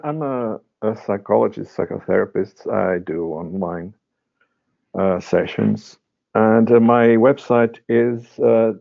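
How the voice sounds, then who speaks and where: A man whose first language is Portuguese, a psychotherapist speaking as a guest in a casual interview, halting with many fillers and restarts. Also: fairly low pitch, gravelly. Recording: dull lo-fi audio.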